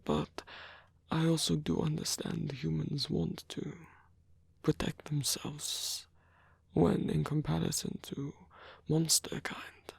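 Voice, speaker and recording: A man playing a lonely, courteous old vampire in an intimate ASMR roleplay, speaking in a breathy, hesitant, softly formal way. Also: a little high, level tone, slow, dry recording, exaggerated voice. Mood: reassuring, conflicted. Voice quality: breathy, husky, gravelly whisper.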